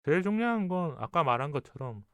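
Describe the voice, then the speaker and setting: A man hosting a casual advice talk show, speaking calmly and thoughtfully, with a regional Korean dialect and pauses in the middle of his sentences. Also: fairly high pitch, clear, resonant tone. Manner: calm, reflective, pauses mid-sentence. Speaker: podcast host.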